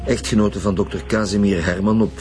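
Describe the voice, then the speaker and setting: An actor playing a stern, insistent police commissioner with a Flemish accent and a formal, reproving manner in a radio drama. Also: male voice, on the low side, fairly even intonation, fairly quick, darkish tone, faint music behind, natural voice. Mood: businesslike. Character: stern, indignant male police commissioner leading inquiries.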